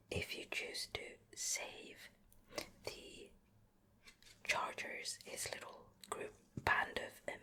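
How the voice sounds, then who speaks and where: A woman giving an unhurried, chatty ASMR show and tell in a soft breathy whisper, close to the mic from ear to ear. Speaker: ASMR video creator and host. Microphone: close ear-to-ear stereo microphone.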